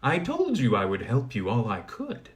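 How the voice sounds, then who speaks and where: A man voicing an old blind woman who spins wool, speaking in a brisk, instructing way. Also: mid-range voice, huge pitch swings. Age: older.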